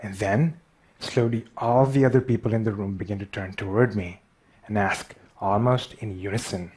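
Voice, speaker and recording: Guy with a pakistani accent, bit husky, stuttery, jokes at his own expense. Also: on the low side, moderate pace, darkish tone. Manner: stutters, self-deprecating. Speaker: speaker. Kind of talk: storytelling talk.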